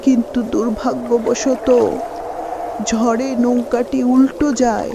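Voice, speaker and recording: A woman playing a vengeful, bloodthirsty river witch ghost in a horror cartoon, with a drawn-out, menacing delivery. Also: a little high, level tone, noisy background. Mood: sorrowful, relenting. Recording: music behind the voice.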